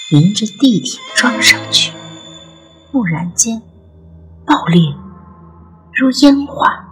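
A woman narrates a supernatural audio drama with very animated intonation, moving freely up and down in pitch. Her storytelling is expressive and suspenseful, with music playing behind her. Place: studio recording with music behind.

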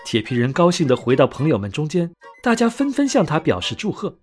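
A man narrating a scripted bedtime story for children in an expressive, clearly phrased way. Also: a little high, a little fast. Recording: soft music under narration.

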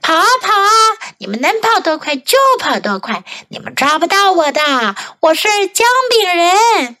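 A woman's voice: extremely high pitch, sing-song, moderate pace, sharp tone, pitched above the performer's own voice, full character voice. A woman voicing a cocky, gleeful little gingerbread man from a children's storybook, with a taunting singsong delivery. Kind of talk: bilingual children's storybook character voice.

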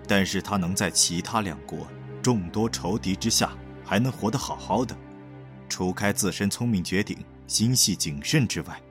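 A deep male voice with faint music behind. a senior assassin in a costume audio drama, calm and reserved, giving measured, protective counsel.

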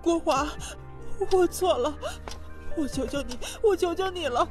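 A woman's voice: sits high, music in the background. A woman acting a meek, guilty wife and mother in a melodramatic Chinese audio drama. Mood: desperate pleading. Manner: slow, imploring, meek.

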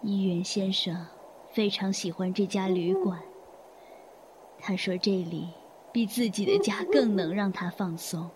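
A woman acting in a Chinese audio drama as a gracious, weary middle-aged hotel manager, soft-spoken, polite and unhurried. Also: medium pitch, slight background noise, close to an everyday voice. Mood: reflective.

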